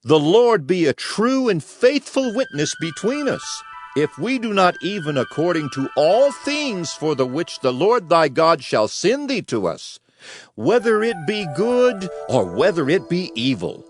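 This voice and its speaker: Guy playing earnest bible-times spokesman swearing an oath, slightly high voice, really slow and solemn. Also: very animated, faint music behind. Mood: earnest vow. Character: earnest male spokesman of a pleading people, swearing obedience. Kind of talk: dramatized scripture reading.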